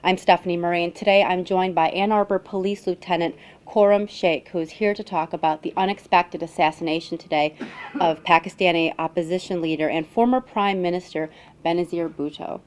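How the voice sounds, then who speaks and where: Low-voiced American woman hosting a news interview. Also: steady tone, brisk. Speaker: news webcast host and interviewer. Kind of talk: news interview with scripted intro. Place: newsroom.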